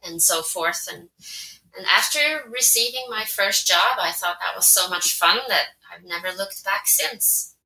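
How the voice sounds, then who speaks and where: A Swedish woman in her forties with a Swedish accent, a translator whose native tongue is Swedish, answering interview questions thoughtfully and pausing to find her words. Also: mid-range voice, animated, bright, forceful tone, a little hiss or hum. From Sweden. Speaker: guest, translator. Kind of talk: conversational interview. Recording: roomy echo.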